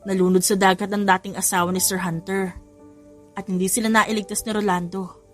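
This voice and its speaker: A woman's voice: mid-range voice, level tone, fairly quick. A woman giving a scripted, dramatic narration of a story as an audiobook narrator. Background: background music.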